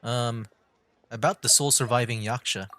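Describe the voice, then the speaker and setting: A man's voice: medium pitch, steady tone, normal speed, background noise. Laid-back young streamer man. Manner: laid-back, chuckling, thinks aloud. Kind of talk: casual live reaction commentary.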